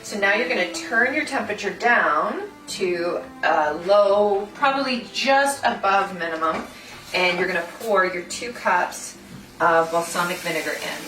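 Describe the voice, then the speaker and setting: A woman's voice: mid-range voice, lively intonation, measured pace, sharp tone. A woman hosting a cooking demonstration, explaining the recipe step by step in an instructional way. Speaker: food designer and cooking host. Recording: roomy echo, distant mic, music behind.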